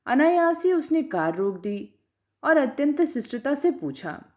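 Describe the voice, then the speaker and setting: Female storyteller for literary audiobook narration: higher voice, very animated intonation, measured phrasing. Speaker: storyteller reading literary fiction aloud.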